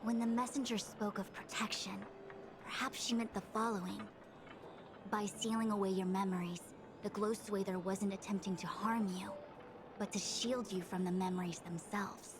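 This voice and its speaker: A woman's voice: mid-range voice, steady tone, normal speed, background noise, close to an everyday voice. A woman voicing a composed, formal master diviner in an anime game, speaking with precise authority. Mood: analytical. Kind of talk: scripted anime game dialogue. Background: music.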